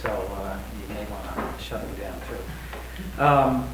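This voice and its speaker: A man giving a talk to a congregation, halting with many fillers and restarts. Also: on the low side. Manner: says he has a big loud voice. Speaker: guest speaker. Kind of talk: lecture-style talk. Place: congregation hall. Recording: echoey room, distant mic, noisy.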